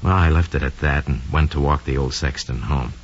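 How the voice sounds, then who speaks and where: An actor playing a smug, scheming young murderer who confesses coldly and boastfully in an old radio drama monologue. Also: male voice, very deep voice, brisk, slight background noise. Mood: cold, sly. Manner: sly, cold, boastful, slangy. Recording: dull lo-fi old radio recording.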